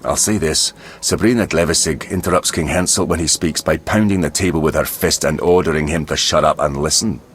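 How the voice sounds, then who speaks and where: A man's voice: extremely low pitch, fairly quick, few pauses, slight background noise. A man playing a crude, cynical dwarf mercenary in a video game, talking in a blunt, sardonic, earthy way. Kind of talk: video game dialogue acting.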